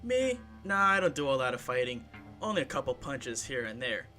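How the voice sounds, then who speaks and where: A man voicing a confident, mischievous eighteen-year-old boy, breezy and teasing, over loud background music. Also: medium pitch, big pitch swings, normal speed. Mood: cocky. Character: cocky confident teenage boy hero, mischievous.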